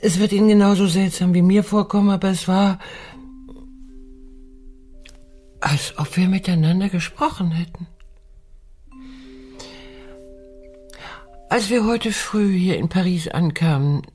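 A woman playing an anxious, dignified widow who leads an expedition in a German radio play, speaking in a hesitant, formal, deliberate way with a husky voice. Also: on the low side, mellow, lightly acted. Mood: confiding, hesitant. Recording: music under the voice.

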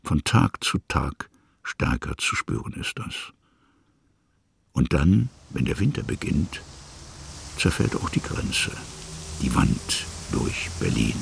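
A man reading literary scripted narration in a measured way, with a gravelly, husky voice and pauses between short phrases. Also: rumbling low, very animated, slight background noise.

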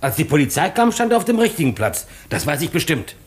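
A man's voice: fairly high pitch, very animated, fast talker. An actor playing a dutiful, respectful household servant in 1950s radio drama style, deferential and precise in his answers. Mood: dutiful, assured. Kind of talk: 1950s German radio play acting.

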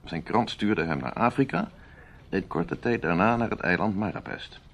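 A man playing a suave, imperious middle-aged army major in a radio drama, courteous, measured and condescending. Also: on the low side, animated, vocal fry. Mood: calm, explanatory.

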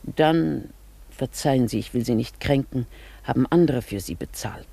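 Husky, imperious older lady. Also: very deep voice, normal speed, warm tone, no echo, heavily acted. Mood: haughty, resolute. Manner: commanding, formal, haughty. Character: imperious, nostalgic lady, determined to relive former grandeur. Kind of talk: German radio play acting.

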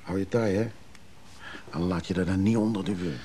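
A man's voice: low-pitched, moderate pace, background noise, natural voice. An actor playing a blunt, independent man in a radio drama, brusque and offhand. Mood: gruff, casual. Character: blunt, independent man, former drinker, weary of women.

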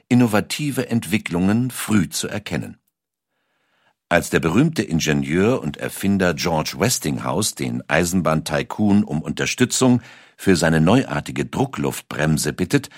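A man reading scripted documentary narration in a measured, dramatic storytelling manner. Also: deep voice, no room sound, studio quality.

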